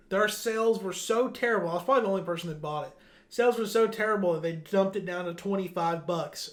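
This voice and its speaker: A man with a somewhat high voice hosts a loose, casual conversation. He tells things in a joking, griping way. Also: a bit bright. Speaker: podcast host. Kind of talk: casual chat, anecdotes.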